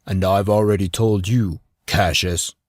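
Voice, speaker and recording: Man playing an earnest, low-voiced teenage boy. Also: fairly even intonation, unhurried, no room sound, clean studio sound. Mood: total conviction. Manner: earnest, solemn, grumbling. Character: teenage boy Arthur, melancholy, earnest, stubbornly convinced.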